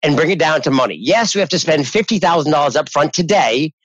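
A man's voice: a little high, expressive, fast, husky, no echo. Guy from new hampshire chatting about tech, lots of false starts, kinda muffled dry audio. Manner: rambling, many restarts and repeated words. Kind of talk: casual tech podcast chat.

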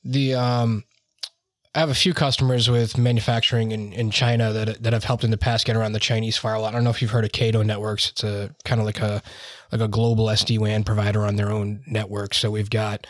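A man's voice: on the low side, level tone, fairly quick, no room sound, studio quality. A man hosting an interview, talking in a chatty, rambling way with many "you know" and "like" fillers. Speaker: podcast host interviewing IT leaders. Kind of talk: conversational interview.

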